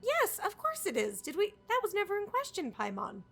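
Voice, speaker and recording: A woman's voice: extremely high pitch, huge pitch swings, brisk, vocal fry, a bit bright. A woman streaming a game with casual live commentary, sarcastically answering the characters back. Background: game music.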